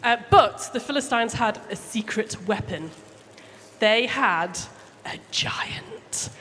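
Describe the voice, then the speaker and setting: A woman with a fairly high voice tells a story to children. She speaks simply and chattily, involving her listeners as she goes. The sound is reverberant, as in a large hall, with faint background noise. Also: animated, slow, fairly bright tone. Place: church hall during a service.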